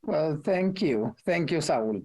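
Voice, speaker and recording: Slow, measured older woman with Puerto Rican accent. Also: low-pitched, animated, warm tone. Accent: Puerto Rican Spanish. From Puerto Rico. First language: Spanish. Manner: measured, deliberate, with thoughtful pauses. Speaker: ambassador and panelist. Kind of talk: formal panel remarks.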